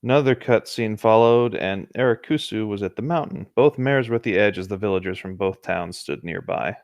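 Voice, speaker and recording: A man with a somewhat low voice narrates a creepy story as a co-host, dropping into casual remarks. He is recorded close and dry, with no room sound. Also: fairly quick, no echo. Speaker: co-host reading video game creepypastas. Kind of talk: story reading with casual asides.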